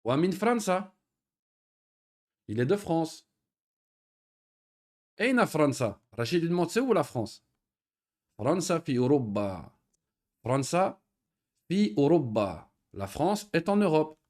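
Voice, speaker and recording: A man teaching an Arabic lesson in French. He reads each Arabic phrase and then explains it patiently, with pauses and fillers. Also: a little high, a little mellow. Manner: patient, repeats words slowly with pauses and fillers. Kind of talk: recorded online lesson.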